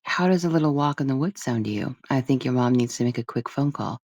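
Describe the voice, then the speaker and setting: A woman voicing a gentle, easygoing man in a soft, kindly way, as an audiobook character. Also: extremely low pitch, expressive, quick pace, dry recording, dull audio, heavily acted. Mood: friendly.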